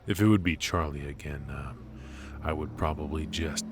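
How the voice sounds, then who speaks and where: A man playing a ruthless, teasing mafia boss in an intimate noir ASMR audio drama, speaking with smug, probing calm and musing pauses. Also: rumbling low, heavy background noise. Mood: quietly probing.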